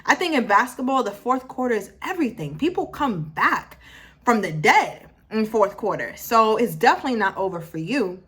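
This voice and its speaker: A woman's voice: a little high, sing-song, normal speed, fairly bright tone, husky. A woman in her twenties giving a casual motivational talk to her viewers, emphatic, driving points home through repetition and stopping to correct herself. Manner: emphatic, repeats phrases for effect, self-correcting. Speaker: motivational vlogger.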